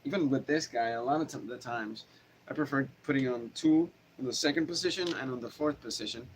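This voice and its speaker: A man's voice: mid-range voice, fairly quick, rough recording. A man with a nasal voice talks loosely and casually into his camera mic, rambling through answers with plenty of "um" and "like." His voice sits in an ordinary middle range. A faint hiss of noise runs under him in a small, hot home room. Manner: rambling, relaxed, with many fillers and restarts. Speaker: guitar teacher answering viewer questions. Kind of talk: casual livestream chat. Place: small home studio room, no air conditioning, fan running. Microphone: camera microphone.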